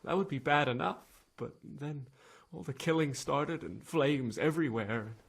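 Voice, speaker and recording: A man playing a frightened young stable lad in a game cutscene, stammering and halting, with a husky voice. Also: a little high, full character voice. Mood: shaken, haunted. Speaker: video game character, witness being questioned. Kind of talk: dramatic game cutscene acting.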